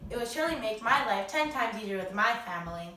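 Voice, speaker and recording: A woman's voice: a little high, lively intonation, fast, lightly acted. A young woman acting as a chirpy, theatrical 1920s radio show host who uses old-time slang. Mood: gracious, chatty. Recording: roomy, distant mic, faint noise.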